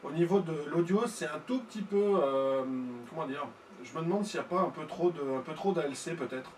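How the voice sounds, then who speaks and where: A man's voice: fairly high pitch, brisk, a little mellow. A man speaking as an amateur radio operator, chatting casually and explaining technical details in a hesitant way. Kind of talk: casual ham radio conversation. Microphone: studio-style mic through tube preamp. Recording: roomy sound with faint noise.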